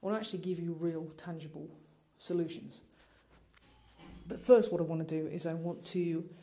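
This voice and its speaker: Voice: medium pitch, fairly even intonation, moderate pace, warm tone. A middle-aged podcast host speaking informally and directly to the listener, earnest and emphatic. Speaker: podcast host and online marketing business owner. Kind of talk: informal solo podcast monologue.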